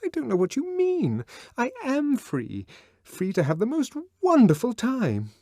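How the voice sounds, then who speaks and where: A man voicing a bright, fretful young woman. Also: huge pitch swings, no room sound, studio quality, exaggerated voice. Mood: cheerful, insistent. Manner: pleading, high and bright, quickly upset. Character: young woman, cheerful, conventional, easily distressed. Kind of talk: audiobook character voice.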